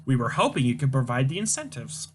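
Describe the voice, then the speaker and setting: A man's voice: mid-range voice, big pitch swings, fast, a little hiss or hum, lightly acted. A man reading a comic aloud, voicing a prim young female assistant in a polite, businesslike way.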